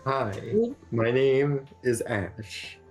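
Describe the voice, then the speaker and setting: A woman answering casually as a cast member in a relaxed group Q&A. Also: extremely low pitch, drawn-out words. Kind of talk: casual Q&A chat. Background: background music.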